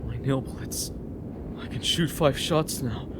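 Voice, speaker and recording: A man's voice: mid-range voice, slow pace, lightly acted. A man playing a desperate, distrustful young fighter in an anime fan dub, agitated and defiant. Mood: tense, desperate. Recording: background noise behind voice.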